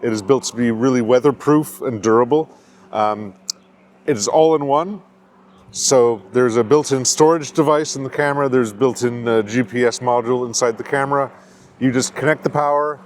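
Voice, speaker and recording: A man from the United States, speaking as a company founder at a mid-range pitch, walks through the details of a camera product. He explains in a steady, patient way with plenty of "uh" fillers. Faint outdoor noise sits behind him. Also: normal speed. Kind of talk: technical product interview.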